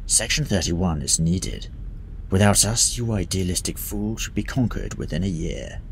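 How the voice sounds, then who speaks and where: A man playing a menacing, imperious officer of a covert agency, speaking sternly and deliberately in science-fiction drama. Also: low-pitched, slight background noise. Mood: coldly threatening. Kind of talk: dramatic dialogue.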